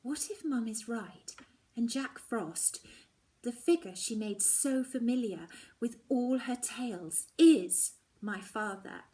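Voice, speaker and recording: A woman's voice: a little high, animated, takes it slowly, dark tone, low-quality recording. A woman narrating a first-person story expressively, with dramatic delivery that often drops toward a whisper. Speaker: story reader.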